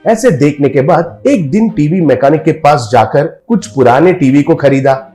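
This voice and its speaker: Male storyteller, scripted moral tale, fairly high voice, very lively intonation, light music behind. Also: normal speed. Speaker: story narrator.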